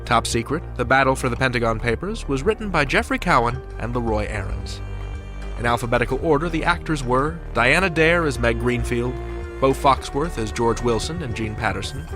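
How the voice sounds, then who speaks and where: A man hosting a drama podcast, reading a smooth, scripted narration and outro. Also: mid-range voice, animated, a little fast. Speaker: podcast host. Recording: music under the voice.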